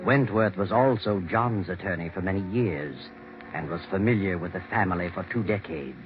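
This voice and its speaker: A man's voice: low-pitched, normal speed. A man narrating a 1940s radio mystery in a dramatic, scripted style. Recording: muffled 1940s radio recording. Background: music.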